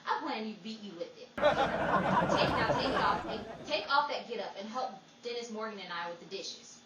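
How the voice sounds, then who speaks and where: A woman's voice: on the high side, moderate pace, reverberant, far from the mic, a loud crowd, very noisy, close to an everyday voice. A woman playing an exasperated, scolding wife in an old-time radio comedy. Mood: scolding, sarcastic.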